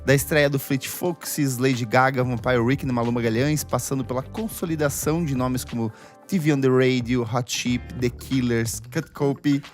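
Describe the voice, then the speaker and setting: A man's voice: medium pitch, steady tone, faint music behind. Chatty young man hosting a podcast. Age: 20s. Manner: chatty, quick asides, lots of fillers. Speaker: podcast host. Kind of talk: casual chat.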